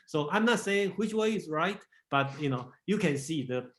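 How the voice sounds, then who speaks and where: A man's voice: fairly high pitch, lively intonation, moderate pace, crowd noise. A man from Taiwan leading a casual group discussion, often repeating words and saying okay while he thinks. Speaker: discussion leader.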